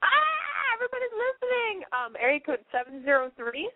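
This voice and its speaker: A woman's voice: extremely high pitch, sing-song, a little fast, dry recording. A young woman with a cold hosting a call-in radio show, talking in a nasal valley-girl way, blunt and profane. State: has a cold. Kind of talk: casual call-in radio chat. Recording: tinny phone-line sound.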